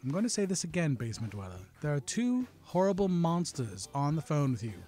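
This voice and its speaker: A man narrates a comic recap with a sardonic, scripted voice-over. His voice is high and animated, with a wide pitch range. Faint music plays underneath. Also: a little fast.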